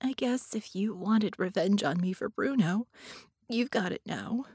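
A woman voicing a grief-stricken, exhausted woman in an audiobook, whispery, tearful and halting. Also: mid-range voice, animated, a little mellow, slightly rough, dry recording, clean studio sound. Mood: bitter. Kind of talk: audiobook character dialogue.

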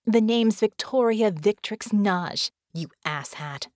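A woman's voice: mid-range voice, expressive, moderate pace, darkish tone, no room sound, studio quality, close to an everyday voice. A woman narrator voicing a cocky, defiant young woman warrior with a sassy delivery. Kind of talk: audiobook narration.

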